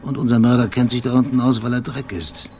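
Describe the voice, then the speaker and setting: A man's voice: mid-range voice, fairly even intonation, fast talker, a little mellow, natural voice. An actor playing a brooding, obsessive male police detective in a radio drama, weary and haunted, speaking in terse, meticulous phrases. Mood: obsessive, insistent. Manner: terse fragments, meticulous describing. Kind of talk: German radio drama monologue and dialogue. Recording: clearly noisy background.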